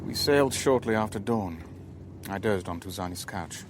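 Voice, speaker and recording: Guy acting a wary shipowner in a radio drama, low measured voice, polite, bit of background noise. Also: lightly acted. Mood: measured, uneasy. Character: composed, wary businessman and shipowner narrating his ordeal. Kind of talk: radio drama narration and dialogue.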